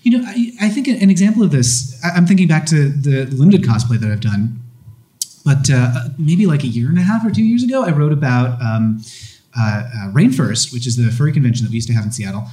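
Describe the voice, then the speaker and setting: A man talks candidly on a live podcast panel, often pausing and restarting as he works out his thoughts. His tone is mellow and relaxed. The room around him is audibly roomy, as on a convention stage. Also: medium pitch. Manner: candid, self-deprecating, halting with restarts. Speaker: guest podcaster.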